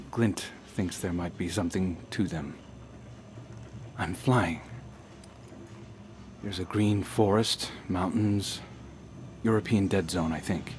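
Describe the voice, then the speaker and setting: An actor playing a troubled, brooding scout haunted by dreams, speaking in a hushed, halting way in a video game. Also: male voice, low-pitched, a little hiss or hum. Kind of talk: dramatic video game dialogue.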